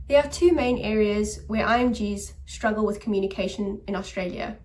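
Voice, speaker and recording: A woman doctor talking straight to camera with earnest, encouraging advice. Her voice sits at a middling pitch and comes across as somewhat distant from the mic. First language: English. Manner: earnest, encouraging, steady explaining. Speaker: doctor and channel host. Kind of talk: talk-to-camera advice video.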